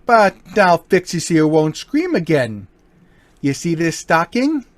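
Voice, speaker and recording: An actor in an amateur old-time radio play, voicing a smooth, mocking gentleman burglar with a lively, swinging delivery. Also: a little high, unhurried, no echo. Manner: smooth, mocking, turns menacing. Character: suave, cocky male gentleman burglar.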